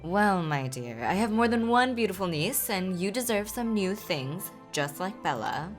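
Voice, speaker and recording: A woman's voice: medium pitch, sing-song, moderate pace, soft background music, lightly acted. A woman voicing an older Regency uncle, stern but kindly, with formal, proper period speech, for a game.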